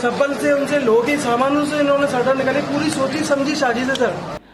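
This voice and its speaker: A man's voice: very high voice, brisk. A man giving aggrieved testimony as a shopkeeper, agitated and pleading, repeating himself. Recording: echoey distant mic amid loud crowd.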